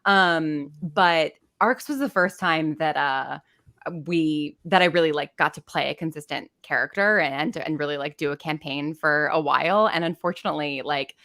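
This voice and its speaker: Low-voiced, chatty woman on a video call. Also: normal speed, fairly bright tone. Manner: chatty, enthusiastic, frequent fillers. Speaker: podcast guest, fiction writer. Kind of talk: casual interview chat.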